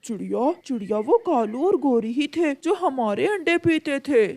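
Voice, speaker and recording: Friendly, protective pigeon watchman for a children's cartoon played by a woman, male, earnest, slow-paced. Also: sits high, lively intonation, mellow, no room sound.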